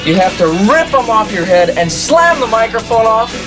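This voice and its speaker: A man's voice: extremely high pitch, big pitch swings, normal speed. Teasing man talking over music. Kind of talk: casual joking remarks.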